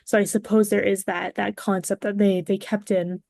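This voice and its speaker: A woman's voice: medium pitch, level tone, normal speed, creaky, darkish tone, dry recording, studio quality. A woman chatting casually as a guest on a podcast. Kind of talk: casual chat.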